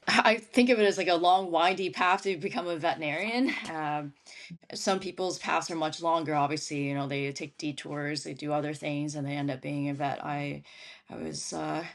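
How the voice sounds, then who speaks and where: A woman who grew up in Syria and Lebanon talks as a guest in a casual interview. Her voice sits fairly low for a woman. She speaks through a home computer mic on a video call and laughs often between her words. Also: fairly even intonation, moderate pace, gravelly. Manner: chatty, laughs often while talking. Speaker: guest, veterinarian and stand-up comic.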